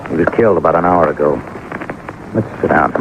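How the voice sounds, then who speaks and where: Grim, quick-talking radio police sergeant. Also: male voice, very deep voice. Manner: clipped fragments, deadpan reporting. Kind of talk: 1950s radio drama acting. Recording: noisy narrow-band old radio recording.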